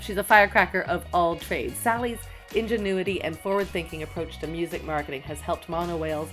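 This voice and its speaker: Bubbly woman podcast host, lowish voice. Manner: gushing, chatty, enthusiastic. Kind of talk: podcast interview.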